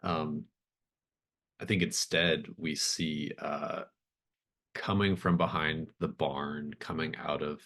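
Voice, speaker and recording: A man improvising narration as game master of a tabletop horror game, in a halting, thoughtful way. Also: very deep voice, steady tone, a bit bright.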